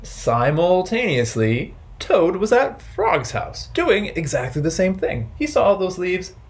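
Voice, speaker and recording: Slow-paced man hosting children's storytime, lively pitch. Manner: playful, simple, deliberate phrasing for small children. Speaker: children's library storytime host. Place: bright indoor room with wooden floor.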